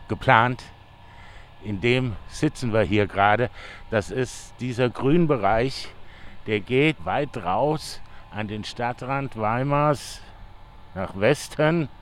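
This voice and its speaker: A man leading the interview as a local guide, explaining things in an easygoing, unhurried way outdoors in a park. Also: medium pitch, slight background noise. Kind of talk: casual outdoor conversation.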